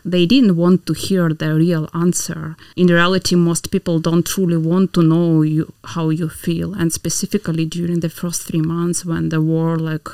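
Hesitant, low-pitched woman guest. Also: creaky, a little mellow, no echo. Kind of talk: interview conversation.

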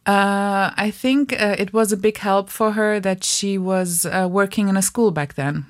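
A woman in her thirties whose first language is Farsi, chatting in an interview in a lively, impulsive way with many fillers and restarts. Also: mid-range voice, measured pace. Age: 30s. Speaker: guest, bookshop co-owner. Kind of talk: casual interview chat.

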